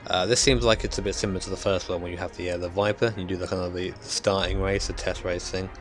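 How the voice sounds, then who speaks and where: A young man talks his way through a racing game, rambling and hesitant with plenty of ums. His croaky voice sits very deep, and faint game music runs underneath. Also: steady tone, quick pace. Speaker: gaming YouTuber. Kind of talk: casual gameplay commentary.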